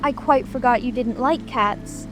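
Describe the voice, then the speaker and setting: A woman's voice: a little high, unhurried, natural voice. A woman playing a polite, curious little girl who speaks earnestly and apologetically in a dramatic audiobook. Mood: apologetic. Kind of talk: dramatic audiobook character reading. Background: music, rain ambience.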